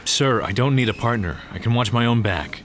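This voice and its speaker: A man acting as a gruff, guarded police detective burdened by grief in an audio crime drama. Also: mid-range voice, a little fast, a bit bright, a little hiss or hum, natural voice. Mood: guarded, curt.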